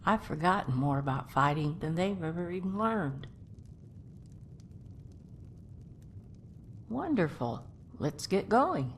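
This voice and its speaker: A woman playing a feisty old grandmother adventurer in a video game, telling things in a folksy, unhurried way. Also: low-pitched, big pitch swings, a little hiss or hum. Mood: feisty, self-assured. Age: older. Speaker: companion character in a game mod. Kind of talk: voiced game character dialogue, storytelling.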